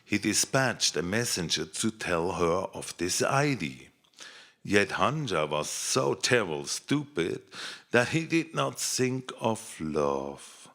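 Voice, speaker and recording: A man narrating a scripted fairy tale in an expressive, measured children's storytelling manner. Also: deep voice.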